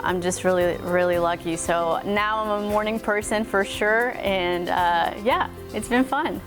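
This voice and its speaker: Upbeat woman anchor over music. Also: fairly low pitch. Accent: Texas. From Mexico. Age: 30s. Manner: upbeat, earnest, grateful. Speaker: morning news anchor. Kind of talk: personal interview talk. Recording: music playing underneath.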